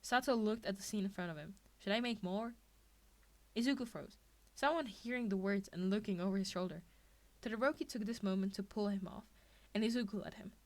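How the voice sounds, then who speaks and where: A woman's voice: medium pitch, quick pace. A woman reading a scripted fan fiction story aloud as its narrator. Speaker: podfic reader.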